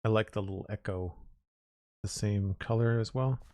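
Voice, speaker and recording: Man, low voice, raspy, a bit hoarse, casual streamer chatter, rambling, dry close mic. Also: warm tone, no echo. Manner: thinking aloud, rambling and unhurried. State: slightly hoarse. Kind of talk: casual live-stream chat while building.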